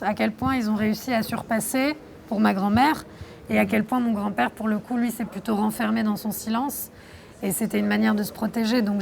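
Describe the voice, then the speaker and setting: A young woman from France answering interview questions about her documentary, reflective and thoughtful, pausing often. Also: on the high side, creaky, a little hiss or hum. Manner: reflective, thoughtful, with frequent hesitations. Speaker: documentary filmmaker being interviewed.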